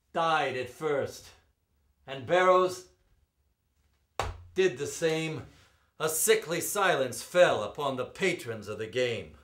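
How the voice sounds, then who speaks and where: A man performing as a poetry reader, with a theatrical, emphatic storytelling delivery and drawn-out words. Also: medium pitch, fairly bright tone. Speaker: performer reading poetry aloud. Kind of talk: dramatic poetry recitation with spoken introduction.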